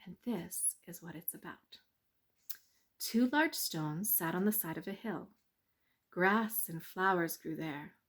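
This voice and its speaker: A woman narrating a children's bedtime story in a measured, expressive read-aloud manner. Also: fairly low pitch.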